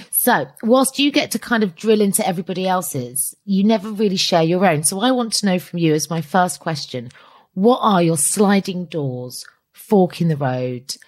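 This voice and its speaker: A woman's voice: lowish voice, darkish tone. A middle-aged woman hosting a podcast, telling frank anecdotes in casual chat. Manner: chatty, storytelling, frank. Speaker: podcast host.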